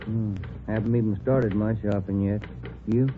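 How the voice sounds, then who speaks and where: Actor as low-voiced, wry detective partner. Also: male voice, fairly even intonation, moderate pace, close to an everyday voice. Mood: relaxed, wry. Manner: casual, wry, laconic. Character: easygoing married police detective, the sergeant's partner. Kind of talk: 1940s radio drama acting. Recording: tinny, hissy old radio recording.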